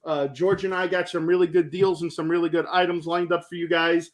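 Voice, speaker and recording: Stream host, male, high voice, hoarse from talking, loose and chatty. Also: little pitch movement, fairly quick. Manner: repeats himself, laughs a lot. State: losing his voice. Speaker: host of a live-stream show. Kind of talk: casual livestream chat.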